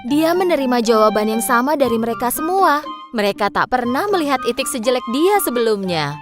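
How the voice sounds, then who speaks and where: A woman narrating a children's story in an expressive, scripted storybook style. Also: on the high side, fairly quick. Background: music.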